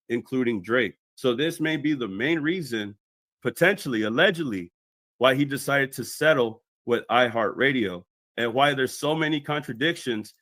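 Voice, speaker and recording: A man's voice: mid-range voice, a bit bright, no echo. A man delivering scripted explanatory commentary as a video commentator.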